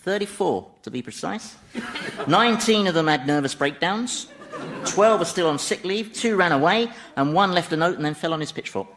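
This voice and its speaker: An actor playing an exasperated, sardonic Satan in a comedy radio drama, grumbling and swearing in a British accent. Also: male voice, a little high, expressive, moderate pace, very noisy. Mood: dry, sardonic. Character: exasperated, sardonic Satan, weary ruler of hell.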